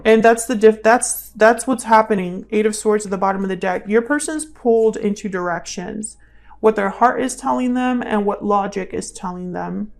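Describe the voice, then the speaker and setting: A woman reads tarot as a channel host, speaking at a medium pitch. She talks conversationally, thinking aloud as she interprets, and circles back to repeat questions and phrases. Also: normal speed, vocal fry. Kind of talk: intuitive tarot reading, casual spoken commentary.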